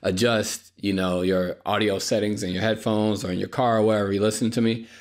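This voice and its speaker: A man's voice: on the low side, little pitch movement, fairly quick. A young man hosting a solo podcast, talking in a tired, apologetic way, with lots of fillers and restarts, his voice a bit dry. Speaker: podcast host. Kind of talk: unscripted solo podcast monologue. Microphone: podcast microphone.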